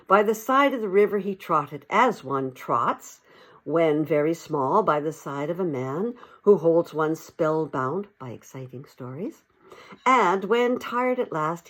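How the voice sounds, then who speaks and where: Woman reading a story with lively intonation. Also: lowish voice. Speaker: audiobook narrator. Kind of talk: expressive storybook narration.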